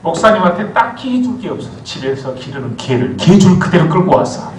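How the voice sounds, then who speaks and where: A man preaching a sermon as pastor, telling stories with lively swings of voice and calling for amens. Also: sits high, a little fast, slight background noise. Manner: animated storytelling preacher, calls for amens. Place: church sanctuary. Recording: echoey church hall from far off.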